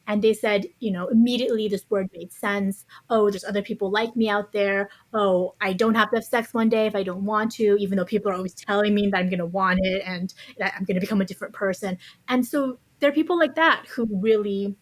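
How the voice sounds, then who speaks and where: A woman's voice: medium pitch, steady tone, brisk, hardly any pauses, rough recording. A woman speaking as a podcast guest, explaining her views conversationally in a thoughtful, carefully qualified way. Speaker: interview guest, author.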